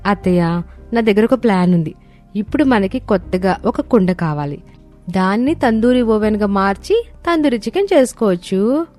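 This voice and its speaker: A woman's voice: medium pitch, animated, moderate pace, a little mellow, natural voice. A woman voicing a clever, respectful young daughter-in-law in a cartoon family story, polite and earnest. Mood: eager, polite. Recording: music bed under voice.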